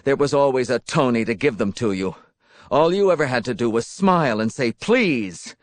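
A man's voice: medium pitch, expressive, normal speed, no echo, close to an everyday voice. A man voicing a hateful, self-pitying young man, a jilted obsessive lover in a story, bitter and accusing. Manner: bitter, accusing, pleading, worked up.

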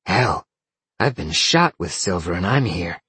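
Man voicing a blunt, husky rebel helper. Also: low-pitched, expressive, measured pace, bright, forceful tone, dry recording, exaggerated voice. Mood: matter-of-fact. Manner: blunt, wry, matter-of-fact. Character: blunt, confident rebel helpers in fantasy tale.

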